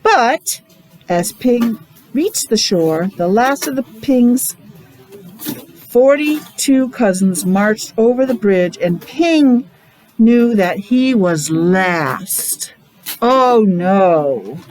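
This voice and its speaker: A woman reading a picture book aloud to young children, expressive, adding explanations and questions along the way. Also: a little high, very slow, low-quality recording. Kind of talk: animated read-aloud storytelling with asides to young children.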